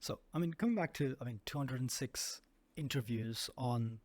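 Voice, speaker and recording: A Scottish man hosting a podcast interview, friendly and quick to laugh as he asks loose questions. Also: medium pitch, a little mellow, no room sound. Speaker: podcast host. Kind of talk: casual interview chat.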